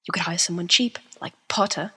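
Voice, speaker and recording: A woman's voice: on the low side, fairly quick, bright, forceful tone, breathy edge, exaggerated voice. A woman voicing a flamboyant, attention-seeking seventeen-year-old boy with melodramatic, teasing delivery in an amateur audio drama. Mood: teasing, demanding. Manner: melodramatic, playful whining.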